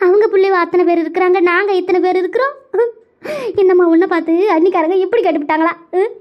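High shrill indignant village daughter woman acting, scolding and lamenting in family drama, muffled audio. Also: fairly quick. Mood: indignant, wailing. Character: indignant married daughter wailing over her wronged mother. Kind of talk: melodramatic Tamil village family skit.

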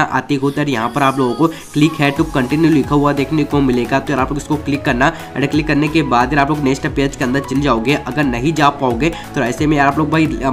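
A man narrating a casual step-by-step gaming tutorial in a chatty way. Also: medium pitch. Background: background music.

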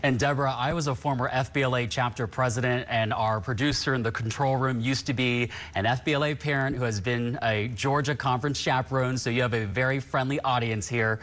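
A man's voice: medium pitch, fairly even intonation, a little fast, hardly any pauses, a bit bright. A man whose first language is Vietnamese conducts a broadcast news interview in a polished, upbeat anchor manner.

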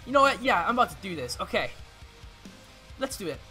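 A young man with an excitable, giggly way of talking and stumbling over words, playing a card game in a casual roleplay. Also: high voice, brisk, faint music behind. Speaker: player in a card duel roleplay. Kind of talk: casual in-character gameplay commentary.

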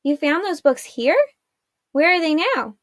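An earnest teenage girl from a fantasy storybook, eager and a little anxious, as a woman reads her. Her voice sits fairly high and close. The sound is dry and intimate. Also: lively intonation, measured pace, dry recording.

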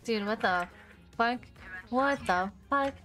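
A woman streaming a shooter game live, reacting excitedly, swearing and rambling. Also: a little high, takes it slowly, faint music behind. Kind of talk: casual live gaming commentary. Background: game sounds.

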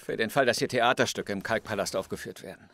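A man's voice: on the low side, fast talker, a bit bright. A middle-aged man playing an urgent, sceptical schoolteacher in an audio drama.

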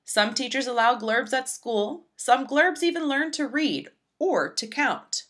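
Animated, bright-voiced woman reading aloud. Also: sits high, measured pace. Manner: animated, emphatic, storybook delivery. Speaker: librarian reading a picture book aloud. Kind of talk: expressive children's read-aloud narration.

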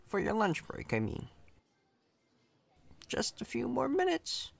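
A man's voice: extremely high pitch, wildly swooping pitch, slow pace, creaky, no echo, heavily acted. A man playing a chatty, cocky, friendly company employee in an ASMR roleplay, casual, reassuring and playfully boastful. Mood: easygoing, friendly.

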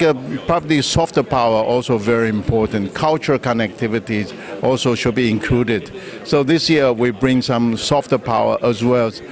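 Male, 60s, Taiwanese accent. Official giving press interview answers, halting and measured, handheld mic. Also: medium pitch. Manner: halting, measured phrasing with pauses mid-sentence. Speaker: trade official being interviewed. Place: indoor press conference room. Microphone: handheld reporter microphone.

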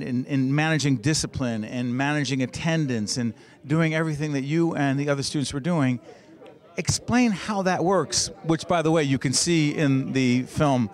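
A man hosting an on-location interview, asking probing, leading questions. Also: mid-range voice. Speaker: interviewer host. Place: outdoors at a festival event.

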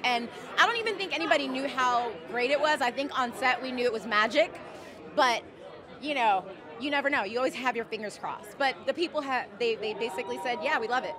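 A woman in her thirties, an actress, gushing in an outdoor red carpet interview into a handheld mic. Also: fairly high pitch. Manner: bubbly, gushing. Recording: crowd chatter behind.

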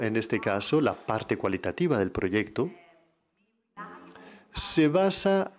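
Male interpreter voicing a lecture. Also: medium pitch. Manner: measured, with frequent self-corrections.

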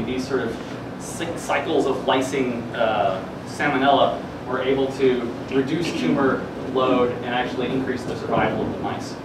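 A young man giving an informal science news presentation in a hesitant, halting way full of filler words. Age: young adult. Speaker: presenter at a student science talk. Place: classroom or seminar room, indoors. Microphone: distant camera microphone. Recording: echoey room, distant mic, air-conditioning rumble.

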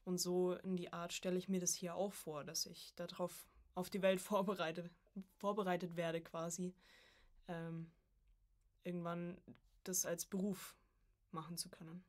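A woman's voice: lowish voice, little pitch movement, unhurried. A young woman chatting casually as a guest on a podcast, a student of voice acting.

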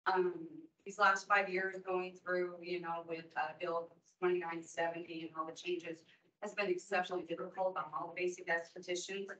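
A woman's voice: lowish voice, little pitch movement, moderate pace, a bit bright. A woman in her fifties, an esthetician from Oregon, giving an earnest, emphatic public comment to a licensing board in a large meeting room. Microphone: distant room mic. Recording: roomy, distant, dull meeting audio.

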